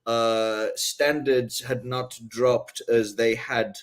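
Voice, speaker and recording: English male guest, haughty, rambling, many fillers, mid pitch. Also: steady tone, extremely slow. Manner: rambling, many ums and rhetorical "right?"; haughty airs. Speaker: guest author. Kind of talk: casual interview talk.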